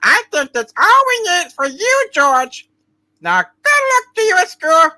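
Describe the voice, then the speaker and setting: A woman's voice: extremely high pitch, sing-song, a little slow, sharp tone, rough recording. A woman reading a play script in a playful, hammed-up way, breaking in with joking asides. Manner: interjecting, joking.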